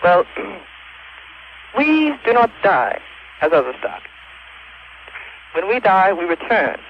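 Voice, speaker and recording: A man's voice: sits high, sing-song, a little hiss or hum, full character voice. A young male caller putting on an affected accent to play a solemn, cryptic cult grand master. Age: young adult. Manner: slow, solemn, evasive pronouncements. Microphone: phone call into radio show. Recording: muffled phone line on radio.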